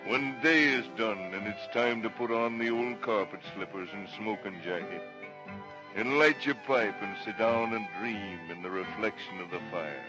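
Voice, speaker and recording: A man's voice: on the low side, normal speed, dull audio, lightly acted. A man playing a genial, folksy ranch boss and host in 1930s radio style. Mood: wistful, inviting. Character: genial ranch boss hosting a cowboy show. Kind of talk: 1930s radio announcing and acting. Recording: old 1930s radio recording, music behind.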